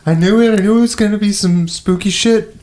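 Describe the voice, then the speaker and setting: A man's voice: sits high, a little fast, darkish tone, slight background noise. A man playing in a tabletop game, improvising his role-play in a casual conversational way.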